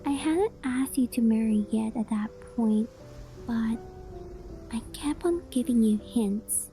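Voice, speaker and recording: A woman's voice: on the high side, warm tone. A young woman voicing a possessive, doting fox-spirit wife in a cutesy, affectionate ASMR roleplay. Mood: flustered, playful. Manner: cutesy, clingy, affectionate, with breathy gasps and giggles. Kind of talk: ASMR roleplay, addressing the listener.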